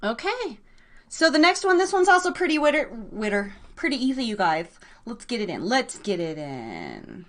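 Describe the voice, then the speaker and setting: A woman with a fairly high voice hosts a live radio guessing game. Her delivery is casual and excitable, with slangy, informal encouragement for the players. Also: normal speed. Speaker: live show host running a guessing game.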